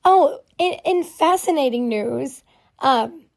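A young woman hosts her own podcast, talking on and on in a loose, self-deprecating way. Her voice sits high but always sounds hoarse and raspy, like she's getting over a cold. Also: lively intonation, slow. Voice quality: hoarse, raspy voice from vocal cord nodules. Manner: rambling, chatty, self-deprecating with many fillers. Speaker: podcast host. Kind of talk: casual solo podcast chat.

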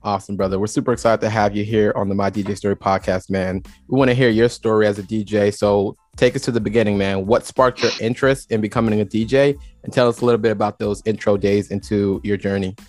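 A man's voice: on the low side, fairly even intonation, quick pace, few pauses, darkish tone, no echo, soft background music. A man hosting a podcast in an upbeat, casual, chummy way, introducing and prompting his guest. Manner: chummy, hype-style, calls guest "brother" and "man". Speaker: podcast host.

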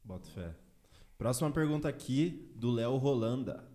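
A man hosting a podcast, reading listener questions aloud in a relaxed, casual way. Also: mid-range voice. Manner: unhurried, reads questions aloud. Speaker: podcast host.

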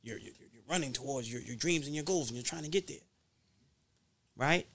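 A man's voice: mid-range voice, fairly quick, a bit bright. A man giving motivational talk as an interview guest, speaking emphatically in a preacher-like way and repeating phrases to drive them home.

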